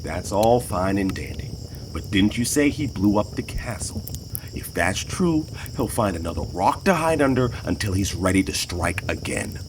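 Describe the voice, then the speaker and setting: A man playing a gruff, skeptical, argumentative ally in a post-apocalyptic audio drama. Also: fairly low pitch, lively intonation, moderate pace, close to an everyday voice. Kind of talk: audio drama dialogue acting. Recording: loud ambient noise behind.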